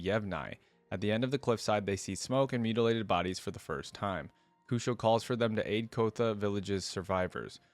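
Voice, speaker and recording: A man with a fairly deep voice hosts a reaction video. He moves between reading text aloud and casual, conversational commentary. The sound is very dry, with hardly any room to it. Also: no echo. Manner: informal, conversational asides between read-aloud passages.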